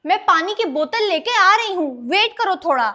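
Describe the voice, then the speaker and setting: A woman's voice: extremely high pitch, lively intonation, moderate pace, pushed and bright, exaggerated voice. A female performer playing an obedient, trusting, helpful young girl in an acted moral-story skit. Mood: calm.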